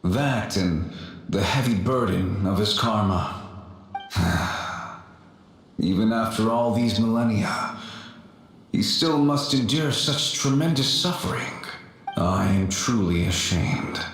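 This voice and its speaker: A man playing a gentle, solemn, ancient warrior's ghost in a video game, speaking formally and wistfully. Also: fairly low pitch, animated, slow, pauses often, exaggerated voice. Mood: sorrowful. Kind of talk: video game character acting. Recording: very echoey game audio, faint music.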